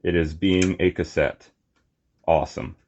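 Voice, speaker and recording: Low-voiced, slow-talking man reviewing toys. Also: flat delivery, darkish tone, dull audio, a little hiss or hum. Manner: halting, short phrases while handling objects. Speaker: toy reviewer. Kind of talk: casual unscripted hands-on product review.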